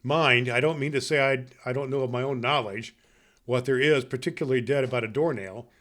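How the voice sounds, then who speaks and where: A man's voice: mid-range voice, fairly quick, lightly acted. A man playing a jolly, kindly old Santa Claus, hosting a festive podcast and reading storybook tales in a hearty, grandfatherly way. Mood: storytelling. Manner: hearty, grandfatherly, addressing children warmly.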